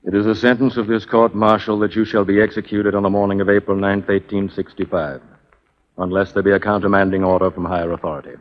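An actor playing a formal, impassive court-martial judge in 1940s radio drama. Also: male voice, lowish voice, flat delivery, slight background noise, close to an everyday voice. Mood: solemn, official. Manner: formal, measured diction. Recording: dull 1940s radio broadcast recording.

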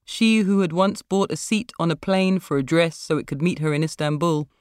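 A woman's voice: lowish voice, normal speed, darkish tone, smooth, dry recording. Woman narrating fiction, dry close sound. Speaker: author reading own story. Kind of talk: literary short-story narration.

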